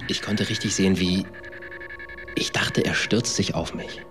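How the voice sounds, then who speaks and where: An actor playing a troubled man recounting events in a radio drama, in a halting, colloquial way. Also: sits low, steady tone, music in the background. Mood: troubled recollection. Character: troubled male swarm organizer recounting events. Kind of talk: confessional radio drama narration.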